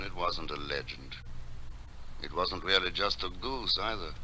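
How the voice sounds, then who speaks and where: An actor playing a gentle, misshapen recluse painter, telling his story in formal, poetic, earnest language. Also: low voice, a little hiss or hum, heavily acted, poor audio. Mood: intense, reverent. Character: solitary, misshapen painter living in a lighthouse, gentle and wistful. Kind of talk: dramatic narration in an audio drama. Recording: music behind the voice.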